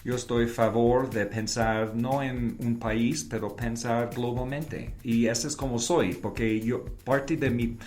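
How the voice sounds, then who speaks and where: Halting man with an English-speaking accent. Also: medium pitch, steady tone, a little mellow, faint music behind. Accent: English-speaking foreign accent in Spanish. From Canada. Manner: halting, mixes in English words. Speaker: interview guest, advertising creative and professor. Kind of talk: conversational interview answers.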